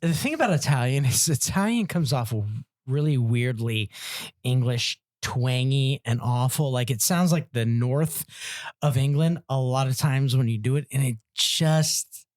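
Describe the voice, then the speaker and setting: A man's voice: medium pitch, a little slow. A man co-hosting a casual comedy podcast, rambling through profane anecdotes. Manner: rambling, profane, lively storytelling. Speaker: podcast co-host.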